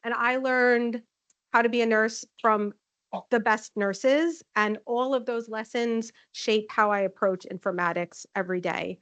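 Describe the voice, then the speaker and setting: A woman giving a chatty conference talk as a nursing informatics manager, with a loud voice and many "um" fillers. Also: on the high side, fairly even intonation, unhurried, no room sound. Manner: friendly, chatty, frequent "um" fillers. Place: conference room. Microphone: handheld or podium microphone.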